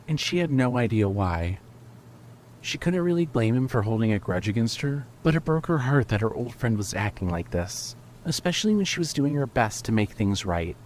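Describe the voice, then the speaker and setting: A man narrates prose fiction from a script in a mid-pitched voice. He reads as a storyteller for an audio fiction podcast. Some noise sits faintly behind his voice. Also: a little mellow.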